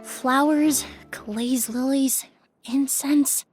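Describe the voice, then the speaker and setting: A woman's voice: high voice, fairly even intonation, very slow, soft background music. An actress playing a composed, analytical young woman in a video game, poised and thoughtful. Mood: pondering.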